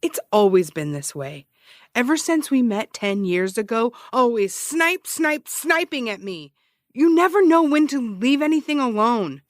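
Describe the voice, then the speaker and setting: An actress playing a cocky, sarcastic amateur investigator and broadcaster who teases and rambles defensively in an audio comedy-drama. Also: female voice, fairly high pitch, big pitch swings, unhurried, dry recording, clean studio sound. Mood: defensive, heated. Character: cocky, needling amateur investigator and radio broadcaster, adult woman. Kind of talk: naturalistic audio fiction comedy-drama acting.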